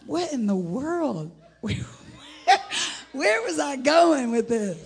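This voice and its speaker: A middle-aged woman with an American accent and a husky, gravelly voice, preaching an informal, rambling sermon in an animated, folksy way. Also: sits high, takes it slowly. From United States (Indianapolis, Indiana). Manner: animated, rambling, folksy, breaking into laughter and exclamations. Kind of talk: informal sermon with personal testimony.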